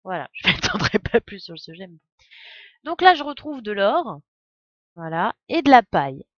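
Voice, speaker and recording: A woman commentates a game live in a casual, playful way. Her voice sits at a medium pitch and she drifts into chatty asides. The sound is very dry and a little dull, like a close home stream. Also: very animated, normal speed, fairly bright tone, no echo.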